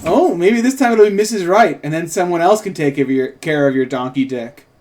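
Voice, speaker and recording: A sassy, flirtatious woman from a fantasy TV drama, read by a man. The voice sits fairly high and is delivered as an informal script read. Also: a little fast, darkish tone, natural voice. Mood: playful. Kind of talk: amateur table read of a TV script.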